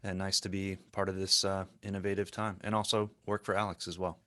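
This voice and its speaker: A man's voice: deep voice, flat delivery, a little fast, pushed and bright. A man in his forties introducing himself casually and a little hesitantly into a close microphone. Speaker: panelist, production sound worker. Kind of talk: self-introduction, casual talk. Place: indoor sound-treated room, on a video call. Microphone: close desk microphone.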